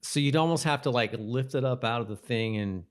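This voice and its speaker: A man's voice: medium pitch, fairly quick, smooth. A man co-hosting a podcast, chatting casually and informally about a TV episode. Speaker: podcast co-host. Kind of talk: casual chat reviewing a TV episode.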